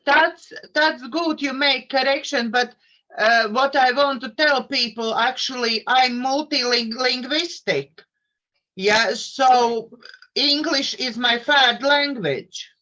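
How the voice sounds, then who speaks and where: A woman with a Latvian accent hosts a live tarot stream in a high, bright voice. She speaks very slowly, choosing her words with careful, non-native phrasing. The stream audio is dull and lo-fi. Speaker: host of the tarot channel. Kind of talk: casual live-stream chat.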